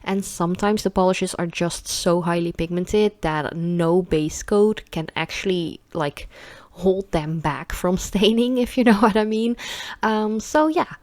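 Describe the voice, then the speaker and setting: A Dutch woman, speaking English as a second language, rambling chattily through a nail polish vlog with many ums and soft laughs. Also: fairly low pitch, measured pace, no room sound. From Netherlands.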